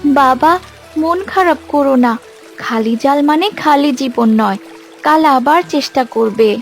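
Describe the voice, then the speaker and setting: A woman voicing a caring, wise young village daughter in a cartoon, gently consoling in a grown-up way. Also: high voice, takes it slowly, faint music behind. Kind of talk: Bengali cartoon dialogue.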